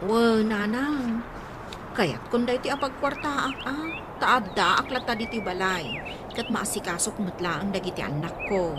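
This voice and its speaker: A woman's voice: fairly high pitch, a little slow, lightly acted. A woman playing a long-suffering, plaintive wife and mother in a radio drama, with an Ilocano accent. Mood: firm, wounded. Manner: plaintive, aggrieved. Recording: noisy background with birds and animals.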